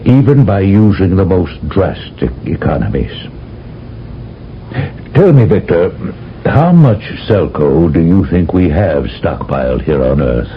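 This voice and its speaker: An actor playing a solemn older general who briefs an agent in a measured, lecturing way, in a 1970s radio drama. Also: male voice, deep voice, very animated. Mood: grave. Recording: dull lo-fi old radio broadcast with faint hiss.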